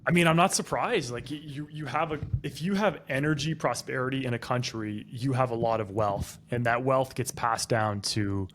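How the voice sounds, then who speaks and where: A man's voice: mid-range voice, normal speed, hardly any pauses, fairly bright tone, slight background noise. A man co-hosting a casual podcast who gives opinions and explains things at length. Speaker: podcast co-host.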